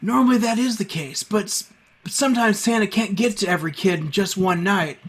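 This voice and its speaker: An actor playing a flustered but kindly man stuck as Santa Claus, speaking haltingly in an audio drama. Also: high-pitched, slightly rough. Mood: hesitant, reassuring. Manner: hesitant, halting, stammering.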